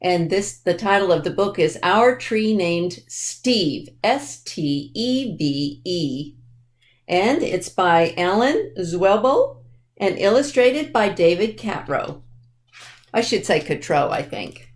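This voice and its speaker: A woman's voice: lowish voice, sing-song, takes it slowly. A woman presenting a children's library storytime, explaining things simply and addressing young listeners directly as she reads aloud. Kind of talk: reading a picture book aloud to children.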